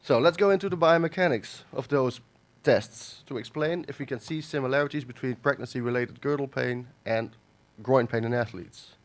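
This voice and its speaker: A man from the Netherlands with a cold, giving a halting academic conference lecture with many fillers and restarts. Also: mid-range voice. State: has a cold. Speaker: conference speaker. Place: conference hall.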